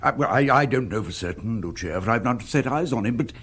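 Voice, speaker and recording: An actor playing a fussy, devoted husband with poetic pretensions, hesitant and deferential, in a radio comedy drama. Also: male voice, medium pitch, lively intonation, quick pace, a bit bright, breathy edge. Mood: hesitant, gossipy.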